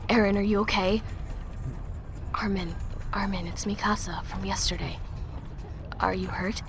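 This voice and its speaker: A woman's voice: mid-range voice, fairly even intonation, measured pace, music in the background, natural voice. A woman playing a protective, stubborn teenage girl survivor in an audio drama, speaking earnestly. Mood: concerned.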